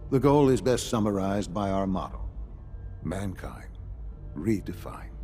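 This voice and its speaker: A man playing a measured, visionary leader of a science institute in a video game, formal and earnest. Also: low-pitched, animated, mellow, soft background music. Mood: measured, solemn. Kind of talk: scripted game dialogue.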